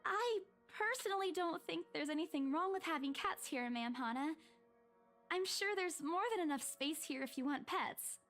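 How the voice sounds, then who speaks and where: A young female estate agent with a high, polite voice, as a man puts her on. She stammers and trips over her words whenever she is pressed. Faint music sits under this visual novel reading. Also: normal speed, sharp tone, full character voice. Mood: flustered.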